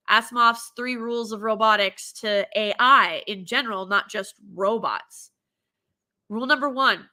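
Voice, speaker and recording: A woman hosting her own commentary show, her voice stuffy from a cold. Her tone is bright and cutting, sitting a little above the usual pitch. She is animated and opinionated, slipping into mocking impressions as she goes. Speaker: show host.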